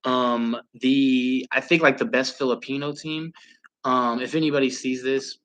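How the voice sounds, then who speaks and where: A man's voice: medium pitch, level tone, a little slow, a bit bright. A man from Southern California hosting a live stream, chatting casually and calling plays, rambling with lots of fillers and casual swearing. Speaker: livestream host and play-by-play commentator.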